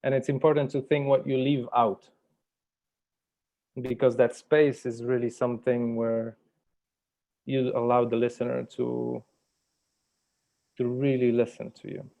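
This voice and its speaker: A man facilitating a workshop, speaking in a measured, deliberate way, like someone guiding a group through an exercise. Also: mid-range voice, little pitch movement, creaky, dark tone. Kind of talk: guided meditation and workshop facilitation. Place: virtual workshop over video call.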